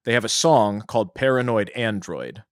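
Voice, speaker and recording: Male podcast co-host, lowish pitch, informal explainer in casual chat, dry studio-like sound without room echo. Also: expressive, moderate pace. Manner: informal, explains trivia in detail.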